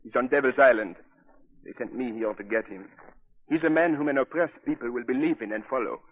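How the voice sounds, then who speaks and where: A man's voice: mid-range voice, a little fast, natural voice. An actor playing a humble but resolute French resistance man in a 1940s radio drama, formal and solemn. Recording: tinny, phone-like old radio broadcast, music.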